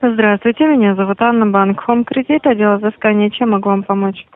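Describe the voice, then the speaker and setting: Woman bank collections agent, mid-range pitch, formal call-center delivery, tinny telephone sound. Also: steady tone, brisk, no room sound. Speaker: bank debt collection operator. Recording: narrowband telephone line.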